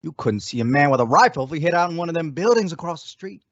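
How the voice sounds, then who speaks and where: A man's voice: a little high, expressive, fast talker, no room sound. A man acting a loyal, worried deputy sidekick with folksy rustic speech in an old-time radio western. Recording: dull, dry lo-fi audio.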